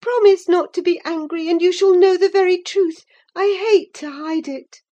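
Woman voicing an anxious high-pitched teenage girl. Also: a little slow, mellow, highs cut off. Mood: tearful pleading. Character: anxious, pleading teenage girl confessing to her nurse. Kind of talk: dramatised audiobook character voice.

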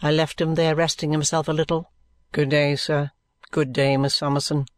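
A woman's voice: deep voice, level tone, moderate pace, no echo, pitched below the performer's own voice, lightly acted. A woman voicing a sinister, reserved male lawyer in an audiobook, formally polite and subdued. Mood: cold, subdued.

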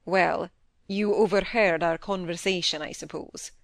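A woman reading an audiobook, playing a stern middle-aged husband who questions gravely, with clipped insistence. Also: lowish voice, fairly even intonation, unhurried, no echo, clean studio sound. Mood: pressing.

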